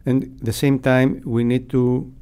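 A middle-aged man explaining things deliberately in an interview, close on a broadcast microphone. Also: medium pitch, level tone, warm tone, clean tone. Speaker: radio sound technician, interviewee. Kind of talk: unscripted explanatory interview answers. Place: acoustically padded radio studio. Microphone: close broadcast mic.

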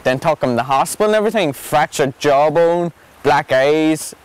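A man's voice: a little high, animated, normal speed, clean tone, no room sound. A young man with a Northern Irish accent, earnestly recounting events in an outdoor documentary interview. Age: young adult. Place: outdoors on a footbridge.

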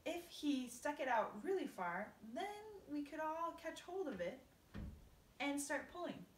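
Doom-saying storybook earthworm played by a woman reading aloud, pessimistic and pitched up, in a roomy space heard from a distance. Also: very animated, unhurried. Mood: gloomy. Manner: doom-laden pronouncements. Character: gloomy male earthworm, a pessimist. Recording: roomy, distant, faint background hiss.

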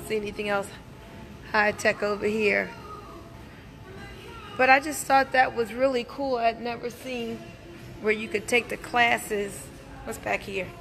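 A middle-aged woman chatting casually and enthusiastically to live viewers on a handheld phone in an indoor gym. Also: medium pitch. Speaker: livestream host, wellness business promoter. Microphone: handheld phone at arm's length.

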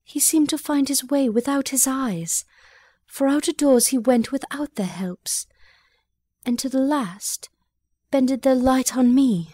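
A woman's voice: high voice, slow, mellow, dry recording. An actress playing an obedient, troubled young noblewoman in a classical drama reading.

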